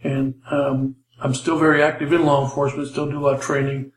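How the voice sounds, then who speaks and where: Older male ex-cop instructor, monotone and unpolished, recorded in a roomy space. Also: medium pitch, a little hiss or hum. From Los Angeles, USA. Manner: plain, halting, many fillers and restarts. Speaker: retired police officer teaching a class. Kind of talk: casual spoken lecture intro.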